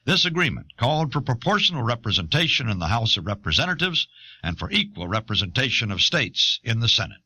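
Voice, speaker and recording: A man narrating a scripted documentary in a measured, formal, explanatory manner, on an old audio cassette. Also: medium pitch, big pitch swings, bright, forceful tone, slightly rough, dry recording.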